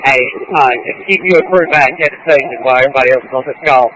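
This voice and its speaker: A man with a medium-pitched, forceful voice talks as a fire officer on two-way radio. His radio traffic is informal, and there is faint noise on the transmission. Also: very fast, poor audio.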